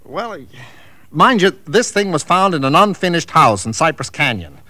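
A man's voice: fairly high pitch, sing-song, moderate pace, bright, forceful tone, dry recording. An actor playing a troubled real estate agent, a man talking in a hesitant, colloquial, rambling way in a 1940s radio drama. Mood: uneasy, hesitant.

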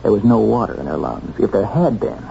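A wry small-town newspaperman in an old radio drama. He is very dark and soft in tone with a heavy creak, asking casual, probing questions. The recording is dull with faint noise. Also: lowish voice, very animated, fairly quick, exaggerated voice. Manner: casual, hesitant lead-ins, probing questions. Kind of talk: 1970s radio drama acting.

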